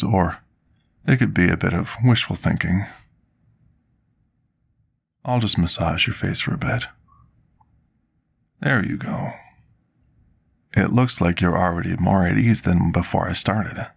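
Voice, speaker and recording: A man playing a devoted potion master in an intimate fantasy ASMR roleplay, speaking husky and gravelly, with formal, courteous, slightly hesitant deference. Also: deep voice, exaggerated voice.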